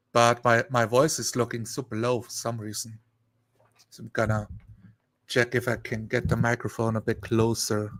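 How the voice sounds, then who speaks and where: A man chatting casually on a live stream, rambling and thinking aloud in a halting way. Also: mid-range voice, a bit bright.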